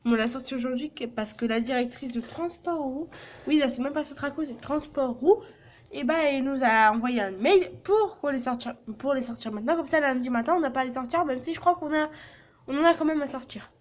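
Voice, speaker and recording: Teen girl vlogger, high voice, brash and foul-mouthed, phone-recorded in a small office with light hiss. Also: a little fast. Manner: crude, joking, swears a lot. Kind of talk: casual vlog.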